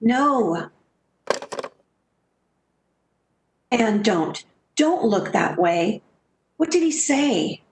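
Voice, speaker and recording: A woman's voice: a little high, sing-song, takes it slowly, echoey room, heavily acted. A woman playing a sensible, protective friend in 1940s-style radio theater, coaxing and theatrical. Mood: alarmed, consoling.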